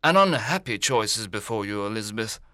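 A man playing a dry, ironic older father in a literary audiobook, speaking deliberately. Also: lowish voice, expressive, bright, forceful tone, dry recording. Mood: wry, stern. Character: dry, wry older father of grown daughters. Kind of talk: dramatised literary audiobook reading.

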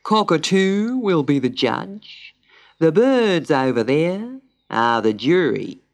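A woman voicing a solemn, pompous male pelican in a children's story, with formal, drawn-out pronouncements. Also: low voice, big pitch swings, heavily acted. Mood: solemn, stern.

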